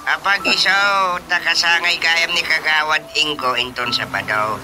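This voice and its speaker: A man's voice: a little high, unhurried, music in the background, natural voice, rough recording. A man with an Ilocano accent playing a folksy, talkative village chairman in a radio drama comedy. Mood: businesslike, friendly.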